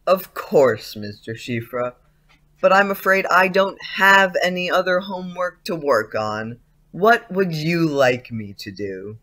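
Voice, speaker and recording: An actor playing a dreamy, insolent schoolboy with a theatrical, poetic way of talking in an audio play. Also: male voice, a little high, expressive, bright, forceful tone. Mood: innocently insolent. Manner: slow, theatrical recitation. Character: dreamy, insolent schoolboy reciting eerie old poetry. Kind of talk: audio drama acting, reciting poetry.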